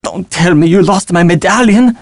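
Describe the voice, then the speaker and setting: A man's voice: sits high, moderate pace, darkish tone, no echo, clean studio sound. A man playing a suave, touchy, flirtatious Frenchman in a video game, with a French accent and theatrical, condescending delivery. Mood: irritated. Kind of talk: video game character acting.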